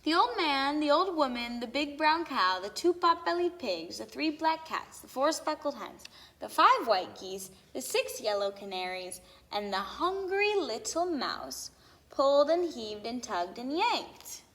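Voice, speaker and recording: A woman reading a children's story aloud with expressive, theatrical emphasis on lists and sound words. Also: sits high, measured pace. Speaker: storybook reader. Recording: long hall-like reverb.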